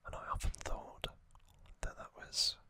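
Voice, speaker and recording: An ASMR host whispering casual trivia, hushed and unvoiced, musing with frequent ums. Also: measured pace, dry recording.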